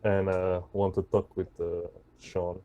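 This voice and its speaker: A man taking part in a tabletop roleplaying game as a player, speaking casually and haltingly. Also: low-pitched, level tone, dark tone.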